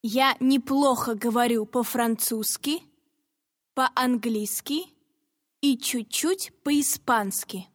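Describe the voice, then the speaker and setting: Lady reading exam lines super slow, kinda high voice, dry mic but a long reverb tail. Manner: deliberate, evenly spaced phrasing. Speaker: voice actor for a listening exam. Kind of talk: slow, carefully read exam monologue.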